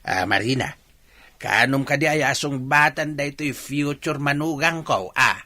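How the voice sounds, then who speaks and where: A man's voice: mid-range voice, expressive, unhurried, bright, forceful tone. A man with an Ilocano accent playing a teasing, matchmaking father in a radio-style drama. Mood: teasing, insistent.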